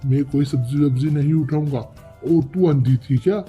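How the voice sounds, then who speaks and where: A man's voice: fairly high pitch, moderate pace, dark tone, breathy edge, soft background music. A man voicing a rude, quarrelsome young male crow in a kids' cartoon, surly and threatening, recorded dully. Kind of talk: Hindi kids' cartoon character voice.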